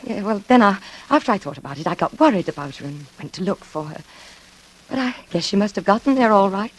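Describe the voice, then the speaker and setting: A woman's voice: medium pitch, animated, quick pace, breathy edge, low-quality recording. An actress playing a genteel, nervous, lonely woman with wistful eagerness in a 1940s radio suspense drama. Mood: nervous, flustered. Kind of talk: 1940s radio suspense acting with confiding narration. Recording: vintage radio drama with music behind.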